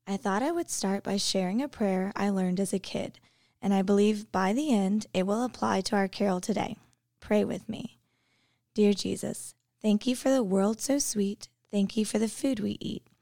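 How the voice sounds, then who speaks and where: A woman shares an earnest devotional reflection in a gravelly, creaky voice. Her voice is recorded dry and close, with no room sound. She speaks in a reflective, storytelling way. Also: mid-range voice, normal speed, warm tone, no echo. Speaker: devotion speaker, English teacher.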